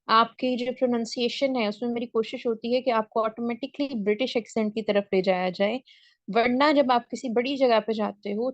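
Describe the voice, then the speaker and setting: A woman's voice: a little high, no room sound, dull audio. A woman teaching an English speaking class over a video call. She explains step by step and keeps checking that the students are following. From Pakistan. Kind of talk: online class lecture.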